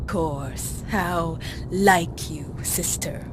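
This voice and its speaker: An actress playing a proud, volatile vampire sister with a sneering, sardonic delivery in a video game. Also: female voice. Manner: sneering, drawn-out taunting delivery. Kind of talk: video game cutscene acting. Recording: clear noise and rumble behind.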